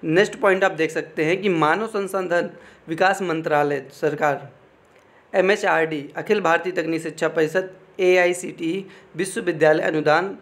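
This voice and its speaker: A man's voice: on the high side, steady tone, moderate pace, a bit bright. A man giving an informal explainer, reading out official text and commenting, often repeating phrases and adding check-in tags. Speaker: exam information presenter.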